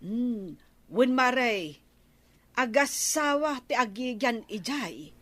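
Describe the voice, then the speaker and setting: A gossipy, helpful village neighbor chats in an Ilocano radio comedy drama. Her voice is slightly high and unhurried, with an Ilocano accent. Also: expressive, fairly bright tone, exaggerated voice.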